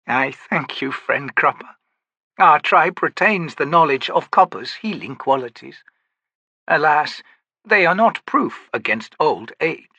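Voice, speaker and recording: A woman's voice: low-pitched, lively intonation, a little slow, dry recording, muffled recording, voice pushed up, exaggerated voice. A man doing a weary, wondering young priestess. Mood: grateful. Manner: quiet and reflective.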